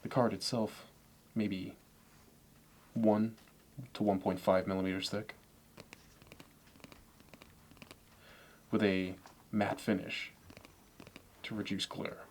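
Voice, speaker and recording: A man playing a smug, meticulous Manhattan investment banker in an ASMR roleplay, speaking in a measured, self-assured way. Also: lowish voice, fairly even intonation, a little mellow, slight background noise, close to an everyday voice. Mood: fastidious, appraising.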